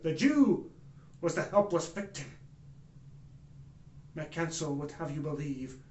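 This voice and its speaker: A man performing a theatrical monologue as an anguished, remorseful German former judge testifying in court with grave, deliberate oratory. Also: on the high side, close to an everyday voice. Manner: grave, deliberate oratory with swells from hushed to loud. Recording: echoey room.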